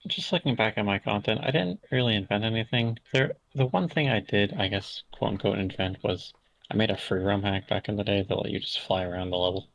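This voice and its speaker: A man's voice: on the low side, fairly quick, vocal fry, a bit bright, poor audio. A young man from Canada, a podcast guest talking in a casual, hesitant way full of fillers and restarts. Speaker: interview guest.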